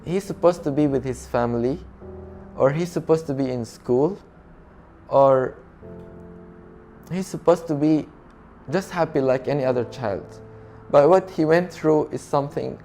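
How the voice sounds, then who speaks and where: Young Syrian man, soft and halting. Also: a little high, faint music behind. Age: young adult. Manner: soft, halting and earnest, with non-native grammar. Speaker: refugee telling his story. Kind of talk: documentary interview testimony.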